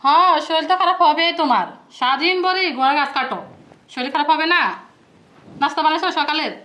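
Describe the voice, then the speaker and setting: A woman acting a nagging sister-in-law in a family drama, very high in pitch and quick-tongued. Her Bangladeshi speech is sarcastic and full of complaint. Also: bright, forceful tone. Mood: sarcastic, scolding. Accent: colloquial Bangladeshi Bengali. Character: shrill nagging sister-in-law, quarrelsome wife.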